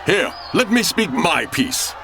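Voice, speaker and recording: An actor playing a gruff, hot-tempered, loyal outlaw henchman, blustering in old radio drama style. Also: fairly high pitch, sing-song, slow, pushed and bright, faint music behind, full character voice. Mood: blustering, angry. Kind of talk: old-time radio adventure acting, archaic English.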